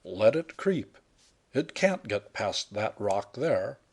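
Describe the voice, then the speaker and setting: A man's voice: fairly low pitch, very animated, exaggerated voice. A man reading aloud and voicing an unruffled young man who teases his sweetheart with dry humour. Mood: teasing. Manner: unhurried, dry, wry.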